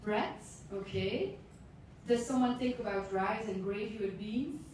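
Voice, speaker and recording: A young woman from Belgium, Dutch her first language, leads a classroom session in plain, careful English with a mellow voice. She is heard from a distance in a very echoey room. A faint hiss sits under the talk. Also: mid-range voice, measured pace. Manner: simple careful English, asks the room questions. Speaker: intern presenter.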